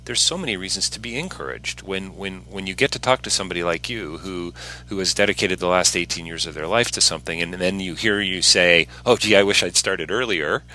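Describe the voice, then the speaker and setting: A man's voice: sits low, fairly quick, sharp tone, rough recording. A man hosting a casual interview podcast, talking in an earnest, encouraging way.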